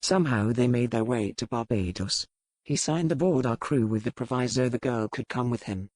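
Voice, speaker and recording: An actor playing a stern, world-weary pirate captain in an audiobook, speaking in a measured, formal way. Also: male voice, mid-range voice, darkish tone, dry recording, natural voice. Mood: grave, matter-of-fact. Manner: measured, formal, matter-of-fact. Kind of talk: audiobook character dialogue.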